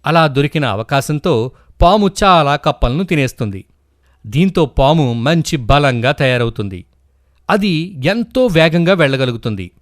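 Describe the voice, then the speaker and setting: A man's voice: on the high side, animated, unhurried, dry recording, clean studio sound. A man narrating a scripted moral story for children as a storyteller.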